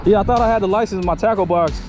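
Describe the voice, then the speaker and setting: A man's voice: high voice, rapid-fire, over music. A man on a fishing pier, talking casually with easygoing, agreeable short replies. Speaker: angler filming his fishing trip. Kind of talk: casual chat. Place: outdoors on a fishing pier by the water.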